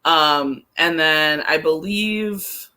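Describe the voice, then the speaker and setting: A man with a sharp, bright voice pitched a little high talks soccer as a podcast co-host. He speaks casually and rambles, stopping and correcting himself as he goes. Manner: rambling, halting, self-correcting.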